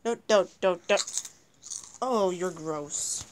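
A woman's voice: fairly low pitch, takes it slowly, slight background noise. A woman filming a ghost-hunting vlog on a handheld phone inside a dim house, exclaiming and repeating herself as she looks around. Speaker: vlogger exploring a house. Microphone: handheld phone camera.

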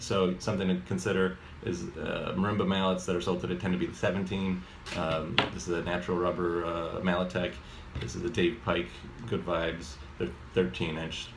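Low-voiced man rambling through a lesson. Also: slight background noise. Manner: rambling, many fillers and self-corrections. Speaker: mallet percussion teacher. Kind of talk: casual video lesson. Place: home kitchen, indoors. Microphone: phone camera at arm's length.

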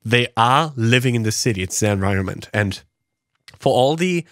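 A man's voice: medium pitch, animated, moderate pace, fairly bright tone, dry recording, studio quality. A man on a podcast talking casually about film, filling his explanations with "like" and "you know". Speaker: podcast panelist.

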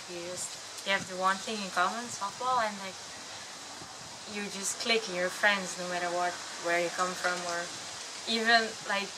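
A sixteen-year-old girl, a softball player, chatting eagerly with lots of fillers. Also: medium pitch, noisy background. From Netherlands. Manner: chatty teen, many fillers. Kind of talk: camp interview. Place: outdoor patio.

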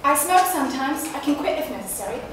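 A woman's voice: high-pitched, expressive, fast talker. A woman acting an earnest, eager character in a play reading, tentative in delivery. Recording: echoey hall, distant mic, noisy.

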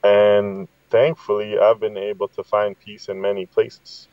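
A man's voice: fairly low pitch, little pitch movement, a little slow. A middle-aged man from Guatemala telling reflective, conversational stories as a podcast guest over a video call. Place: inside a parked vehicle. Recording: slightly dull, roomy sound.

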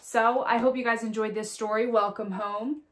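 A woman in her thirties with a fairly high voice reads a children's picture book aloud as a teacher would. She speaks straight to her young listeners, adding asides and checking in with short questions. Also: fairly even intonation, moderate pace, a bit bright. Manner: addresses young listeners directly with questions and tag "right?". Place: small indoor room at home or classroom corner.

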